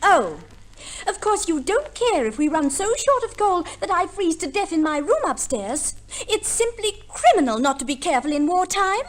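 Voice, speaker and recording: A woman's voice: very high voice, big pitch swings, moderate pace. An actress playing a coquettish, self-centred married lady in a 1950s radio play, with a theatrical, affectedly sweet manner. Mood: imperious, scolding.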